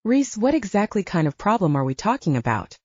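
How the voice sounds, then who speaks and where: A woman's voice: mid-range voice, big pitch swings, a little fast, husky, no echo, highs cut off. A woman voicing a commanding, level-headed male werewolf pack leader with a probing manner in an audiobook. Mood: stern, probing. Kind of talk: audiobook character dialogue.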